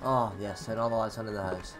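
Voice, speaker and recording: A man's voice: on the low side, quick pace, loud music behind the voice. A teenage boy, a gaming YouTuber, chatting casually through a horror game from his bedroom. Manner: chatty, animated, shrieks when startled.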